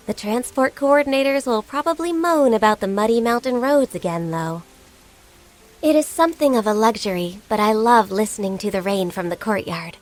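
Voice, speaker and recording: An actress playing a gentle, diligent, shy and youthful half-beast secretary in a video game, speaking politely and earnestly. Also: female voice, a little high, natural voice. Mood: soft, serene. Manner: polite, earnest, hesitant when flustered. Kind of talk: video game character voice lines.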